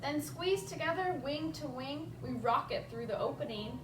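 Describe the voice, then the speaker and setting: A woman hosting a children's story time, reading a picture book aloud expressively and chatting casually to the young listeners as an animated storyteller. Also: high-pitched, normal speed, noisy background. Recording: roomy, distant microphone, music behind.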